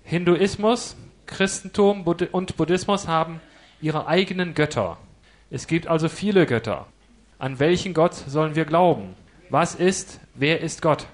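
A man interviewing a spiritual teacher, reading out his questions in a careful, measured way. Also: fairly high pitch.

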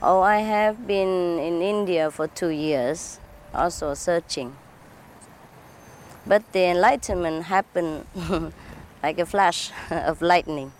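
A woman giving interview answers as a spiritual teacher, speaking halting English with many fillers and small laughs. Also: fairly low pitch, a little hiss or hum. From Vietnam.

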